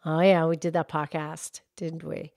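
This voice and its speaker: A woman voicing a calm, knowledgeable grown-up cow puppet, speaking in a soft, measured, teacher-like way in a children's puppet show. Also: low-pitched, vocal fry, no room sound, clean studio sound. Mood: calm, informative.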